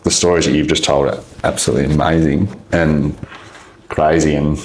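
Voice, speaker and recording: A man's voice: extremely low pitch, flat delivery, normal speed, reverberant, a little hiss or hum. A man with an Australian accent hosting a podcast, speaking in an easygoing, thankful way. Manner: easygoing, thankful, short backchannels. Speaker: podcast host. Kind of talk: casual interview chat.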